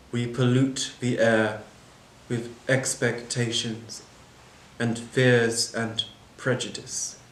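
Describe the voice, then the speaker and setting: A bitter, disillusioned manager, acted by a man delivers a solemn dramatic monologue. He speaks very slowly and deliberately, breaking thoughts into short phrases. The room echoes around him, with noticeable background noise. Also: mid-range voice. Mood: bitter, measured. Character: bitter, disillusioned airport manager defending replacing people with machines. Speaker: actor performing a monologue. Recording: roomy echo, clear background noise.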